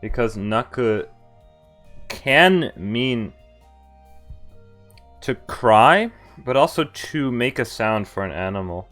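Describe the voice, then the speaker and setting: A man's voice: mid-range voice, expressive, slow, long pauses, fairly bright tone. A man streaming a game, reading a visual novel aloud and adding playful, chatty commentary that wanders into asides. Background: game music.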